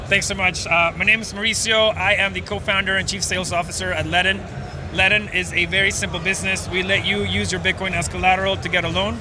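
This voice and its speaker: A man's voice: high-pitched, flat delivery, fairly quick, music in the background. A man from Venezuela, a startup co-founder, explaining at length in a casual interview with many fillers. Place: outdoors at a busy conference.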